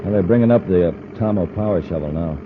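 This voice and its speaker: A man playing a dutiful space patrol officer, giving crisp reports in an old-time radio adventure. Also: low-pitched, fast talker, background noise, natural voice. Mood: brisk, informative. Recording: very muffled, lo-fi old radio recording.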